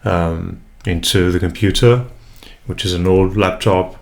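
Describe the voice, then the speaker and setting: Man, young, from Brazil, Portuguese first language, very low voice, halting and thoughtful, home desk mic. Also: fairly even intonation, vocal fry. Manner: hesitant, many fillers and restarts, reflective. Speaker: English tutor and vlogger. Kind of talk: casual first vlog introduction. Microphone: USB desk mic, unprocessed.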